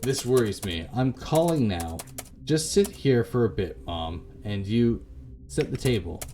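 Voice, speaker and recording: A man's voice: medium pitch, expressive, measured pace, dark tone, a little hiss or hum. A man voicing a worried grown daughter, anxious and hesitant, caring for her failing mother in a visual novel. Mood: concerned.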